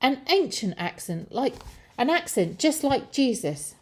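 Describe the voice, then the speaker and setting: A woman's voice: high voice, big pitch swings, unhurried, slightly rough, pitched above the performer's own voice, exaggerated voice. A woman voicing a suspicious, taunting servant in a children's story, matey and pointed. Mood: knowing accusation.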